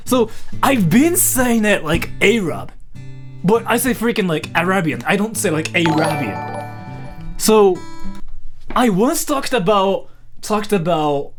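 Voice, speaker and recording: A man's voice: high voice, sing-song, a little slow, soft background music. A young man from Japan, a live streamer, chatting casually to his viewers in a rambling way, full of fillers and mild swearing. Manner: rambling, filler-heavy, says "freaking" and "like" constantly.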